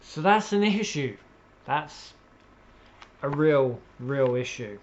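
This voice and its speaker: Slow, blunt, exasperated man ranting. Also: on the high side, animated, lo-fi sound. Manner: emphatic, with deliberate pauses and repetition. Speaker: political commentator. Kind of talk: opinionated solo political rant.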